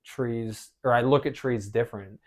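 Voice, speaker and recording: A man's voice: mid-range voice, steady tone, normal speed, darkish tone. A man with an American accent, hosting a podcast and telling personal stories in casual, conversational speech. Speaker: podcast host. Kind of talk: casual chat, personal storytelling.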